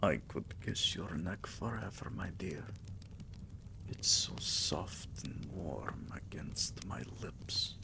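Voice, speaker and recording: A man playing a tender, possessive vampire king in an intimate ASMR roleplay, murmuring soothingly and often whispering, with a deep, gravelly voice. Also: slow pace, noisy background, full character voice. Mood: hungry, tender.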